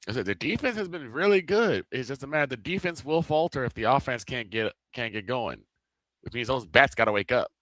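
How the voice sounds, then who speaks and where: Man, color commentator, bright forward tone, loose repetitive phrasing, dry mic. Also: medium pitch, brisk, no room sound. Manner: relaxed, repeats himself while searching for words.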